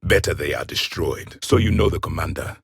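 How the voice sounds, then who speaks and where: A man's voice: very deep voice, fairly quick, husky, no room sound, studio quality. A man playing a stern, bitter ancient alien warrior in a video game, speaking in a formal, clipped, disdainful way. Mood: grave, disdainful. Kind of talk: video game combat and dialogue lines.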